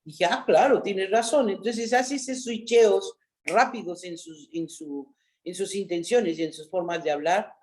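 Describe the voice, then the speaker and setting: Mexican woman telling lively stories. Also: fairly low pitch. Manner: animated storyteller, frequent "¿no?" tags. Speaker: actress, interview guest. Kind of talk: casual interview chat.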